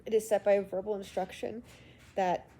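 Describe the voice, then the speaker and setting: A woman's voice: medium pitch, flat delivery, normal speed, warm tone, slight background noise. A sick woman with a congested voice, recording her class assignment as a student and reading prepared answers with frequent hesitations.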